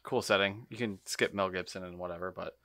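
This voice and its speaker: A man chats casually as a podcast co-host. His voice is on the low side, bright and cleanly resonant. He speaks informally, recorded dry. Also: steady tone, a little fast, no echo.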